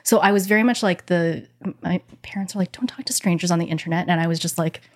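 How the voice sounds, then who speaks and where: Woman host with a slightly low voice, casual and playful. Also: fast talker. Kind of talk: casual conversation.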